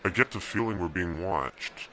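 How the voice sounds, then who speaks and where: An actor playing a newlywed young man planning an escape from a dystopian regime, talking calmly and giving steady instructions in an amateur radio drama. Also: deep voice, moderate pace, slight background noise, rough recording. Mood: worried.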